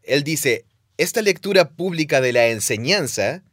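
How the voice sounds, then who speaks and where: A man's voice: a little high, lively intonation, a little slow, a bit bright, no echo, clean studio sound. A man co-hosting a podcast, explaining ancient texts at length and reading quotes aloud. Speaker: podcast co-host, Bible teacher.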